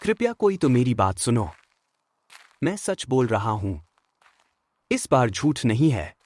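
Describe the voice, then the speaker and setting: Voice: mid-range voice, very animated, takes it slowly, dry recording. An actor voicing a mischievous village boy in a cartoon, who teases the villagers and later cries for help. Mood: panicked. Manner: teasing laughter, then panicked shouting. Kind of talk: cartoon voice acting.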